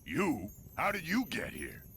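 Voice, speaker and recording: Blunt, animated warrior companion. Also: male voice, a little high, measured pace, gravelly, a little hiss or hum, full character voice. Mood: puzzled.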